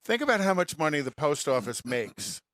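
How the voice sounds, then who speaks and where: A man's voice: on the high side, sing-song, normal speed, fairly bright tone, no room sound, clean studio sound. An American man co-hosting a radio show in casual, bantering conversation.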